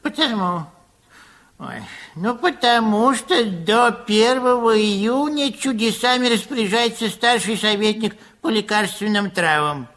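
Sharp-voiced, flustered old apothecary. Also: male voice, high-pitched, measured pace. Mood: grumpy, flustered. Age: older. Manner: hesitant, flustered, sighing muttering. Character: small grey long-nosed old apothecary, grumpy yet soft-hearted. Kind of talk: Soviet radio play acting.

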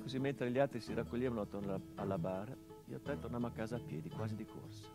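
A man doing a grieving grown son in a stage monologue for radio, speaking in a mid-pitched voice to the father he lost. He talks intimately and thoughtfully, then turns sharply bitter, while guitar music plays behind him. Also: rapid-fire, natural voice. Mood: reflective. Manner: intimate, reflective, with sudden bitter emphasis. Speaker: stage actor in a radio play. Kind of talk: theatrical monologue.

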